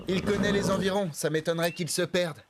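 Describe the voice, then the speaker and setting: A man voicing a calm, sensible young horse rider in a children's cartoon, practical and reassuring. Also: high-pitched, fairly quick, vocal fry, heavy background noise. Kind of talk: French cartoon dub acting.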